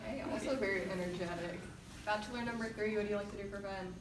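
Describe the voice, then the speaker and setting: A woman's voice: mid-range voice, steady tone, fast talker, crowd noise. A teenage girl playing host of a dating game in a school improv show, upbeat and giggly. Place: school classroom. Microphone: far camera. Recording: echoey classroom from far off, noisy.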